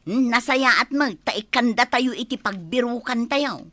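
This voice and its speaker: An ambitious village wife with an Ilocano accent in a comedy radio play. Her voice is high and sharp and swings widely in pitch. She is sassy and easily irritated, recorded close and dry. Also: a little slow, no room sound. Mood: breezy, curious. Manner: animated, sassy. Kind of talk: comedy radio drama acting.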